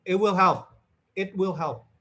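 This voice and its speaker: A man's voice: high-pitched, measured pace, pushed and bright. A man from Indonesia speaking casually and encouragingly, repeating himself for emphasis as he gives language-learning advice in an online meeting.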